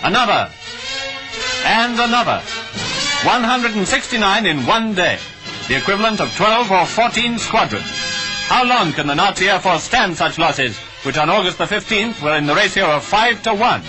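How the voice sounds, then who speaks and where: An old 1940s newsreel soundtrack with prominent music under the voice. A British man with a received pronunciation accent narrates in a high-set voice. His delivery is clipped and declamatory, in the manner of wartime cinema commentary. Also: big pitch swings, normal speed, poor audio.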